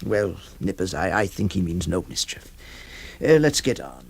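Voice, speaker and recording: A man's voice: deep voice, very animated, normal speed, gravelly, husky, full character voice. An actor playing a flustered, hesitant lawyer who stammers, also narrating his story in a radio drama. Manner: stammering, exasperated, theatrical.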